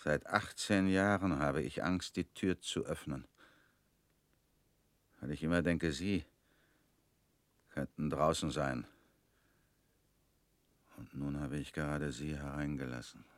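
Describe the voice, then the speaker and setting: A man's voice: extremely low pitch, steady tone, takes it slowly, long pauses, no echo. An actor in a radio play playing a fearful, suspicious man alone at home, speaking in insistent, clipped sentences. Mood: subdued fear. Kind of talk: German radio play acting.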